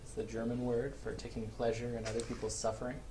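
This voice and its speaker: A middle-aged man, a father playing a video game with his daughter, talking calmly and dryly and explaining things patiently. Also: medium pitch, fast talker. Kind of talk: casual gameplay chat. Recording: clear background noise.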